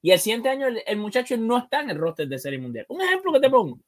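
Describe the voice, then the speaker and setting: A man's voice: very high-pitched, sing-song, fast. Emphatic male sports show host. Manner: emphatic, repeats phrases for emphasis. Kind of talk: casual baseball discussion.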